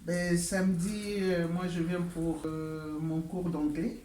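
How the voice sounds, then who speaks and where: A woman's voice: fairly low pitch, level tone, slow pace, mellow, reverberant, recorded from a distance, slight background noise. A woman in her sixties from the Republic of the Congo, an adult English learner, answering interview questions hesitantly, with many fillers and restarts. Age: 60s.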